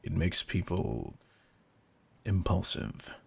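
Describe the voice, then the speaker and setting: A man playing a veteran vampire hunter in an audio drama, a controlled, intense mentor who speaks in a deliberate, commanding, quietly menacing way. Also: low voice, expressive, a little hiss or hum, full character voice. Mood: tense, guarded. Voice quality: gravelly, husky. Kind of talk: scripted roleplay audio drama.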